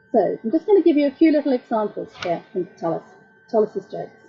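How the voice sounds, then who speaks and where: A middle-aged woman lecturing as a translator, explaining jokes in a wry, measured way. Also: medium pitch, dull audio. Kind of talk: academic talk.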